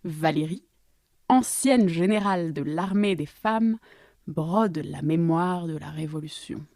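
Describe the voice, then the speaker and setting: A woman narrating a scripted story as narrator, with measured, deliberate phrasing. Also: deep voice, lively intonation, warm tone, no room sound, clean studio sound.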